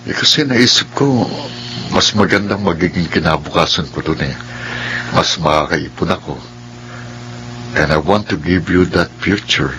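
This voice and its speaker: An actor playing an earnest, devoted young suitor in a Filipino radio drama, coaxing and tender, with a Filipino accent. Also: male voice, low voice, unhurried, pauses often, pushed and bright, slight background noise, full character voice, rough recording. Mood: earnest, confessing. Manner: earnest, coaxing, mixes English into Tagalog.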